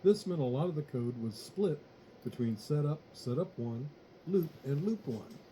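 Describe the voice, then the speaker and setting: A man reading a scripted technical tutorial in a measured, matter-of-fact way. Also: a little high, expressive, mellow, a little hiss or hum, low-quality recording. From United States. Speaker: electronics tutorial narrator.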